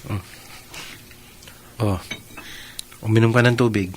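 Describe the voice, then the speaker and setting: An actor playing a patient, playful, reassuring bridegroom in a naturalistic radio drama, light and caring in manner. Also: male voice, fairly low pitch, steady tone, takes it slowly, a little hiss or hum. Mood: teasing, reassuring.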